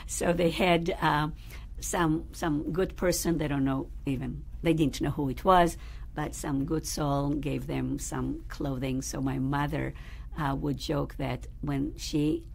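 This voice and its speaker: A woman rabbi recounting her family's history in an interview, pausing often to search for words. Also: low-pitched, steady tone, vocal fry, darkish tone. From Israel. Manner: thoughtful, searching for words with fillers. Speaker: rabbi, guest. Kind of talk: interview, personal storytelling. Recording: faint background noise.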